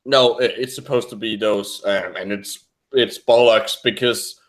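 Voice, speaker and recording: A man speaking non-native English that mixes American and British, chatting casually and haltingly as a podcast co-host, often searching for words. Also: lowish voice, fairly even intonation. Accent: non-native English mixing American and British. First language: not English. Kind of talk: casual science debunking chat.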